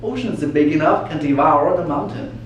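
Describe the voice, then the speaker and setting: A man's voice: on the high side, animated, fairly quick, lo-fi sound, close to an everyday voice. A man playing an eager young grandson proposing something in a told folk tale. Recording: echoey room from far off, faint noise.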